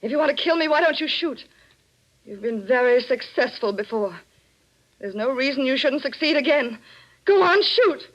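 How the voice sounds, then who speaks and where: An actress playing an anxious but defiant cornered widow in a 1940s radio mystery, with a dramatic manner. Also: female voice, high-pitched, animated, normal speed. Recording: dull old radio recording with music.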